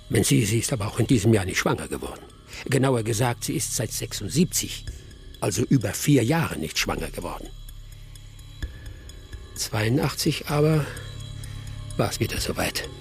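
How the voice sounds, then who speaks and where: An actor playing a village hunter who tells his story gravely and in measured phrases, in a radio play. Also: medium pitch, darkish tone, heavily acted. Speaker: narrator. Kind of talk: dramatic first-person radio play narration. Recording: music playing behind the voice.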